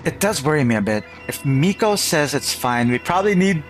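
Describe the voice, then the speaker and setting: A man's voice: a little high, fairly quick. A man live-streaming a game playthrough with casual, exclamatory commentary, often repeating his words. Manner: animated, exclamatory, self-repeating. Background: game music.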